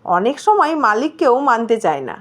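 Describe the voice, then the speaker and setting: High-pitched, expressive woman storyteller. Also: moderate pace. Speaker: story reader. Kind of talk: scripted story narration.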